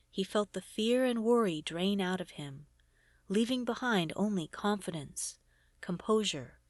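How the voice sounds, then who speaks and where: A woman's voice: mid-range voice, no echo. Woman reading fantasy fiction, dry close sound. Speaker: audiobook reader. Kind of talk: scripted narration of fantasy fiction.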